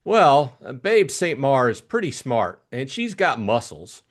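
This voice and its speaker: A man's voice: medium pitch, big pitch swings, unhurried. A man voicing a chatty, eager, simple-hearted young upper-class Englishman in an audiobook, gushing and rambling in slangy talk. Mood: enthusiastic rambling. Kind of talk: audiobook character acting.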